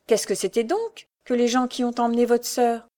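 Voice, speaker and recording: A woman's voice: a little high, steady tone, normal speed, warm tone, voice pushed up, close to an everyday voice. Storybook role: female reader as a humble, good-hearted working lad, eager and rustic, voice lifted slightly. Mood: concerned. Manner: earnest, eager, rustic colloquial speech. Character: kind-hearted, humble young male lame knife-grinder.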